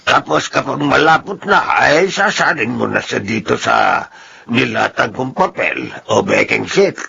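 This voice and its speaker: An actor playing a doting, hardworking old grandfather in a Filipino radio drama, speaking slowly and tenderly with an aged, gravelly voice. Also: medium pitch, lively intonation, pushed and bright, exaggerated voice. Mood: gentle instructing. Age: older. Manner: slow, tender, fussing. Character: doting, hardworking old grandfather who sells homemade candy. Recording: dull, slightly noisy radio recording.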